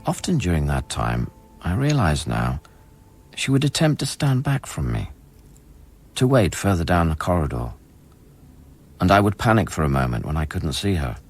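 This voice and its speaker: A haunted scientist narrating a radio drama in a very low voice. He speaks in measured, inward-looking, literary phrases as he recounts life on an alien world. Music plays clearly behind him. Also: big pitch swings, full character voice. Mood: brooding, awed.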